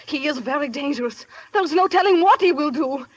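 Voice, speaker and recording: A woman's voice: high voice, animated, brisk, dull audio. A woman playing a desperate, possessive heiress in a vintage radio mystery comedy, with theatrical, formal delivery. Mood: fearful, pleading. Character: melodramatic, desperate heiress, fearful and fiercely possessive. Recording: noisy old radio broadcast.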